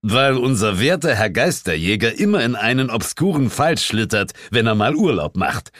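A man voicing a grumpy older male boss with a gruff, blustering manner in an audiobook. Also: mid-range voice, very animated, moderate pace, a bit bright, no room sound, studio quality, voice pushed up. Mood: stern explaining. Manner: thundering, gruff, indignant.

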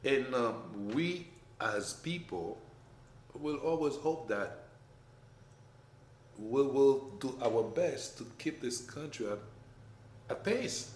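A man with a French accent talking in a casual conversation, emphatic and animated, his voice rising when he makes a point. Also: medium pitch, slow pace, pauses often. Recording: echoey room, faint background noise.

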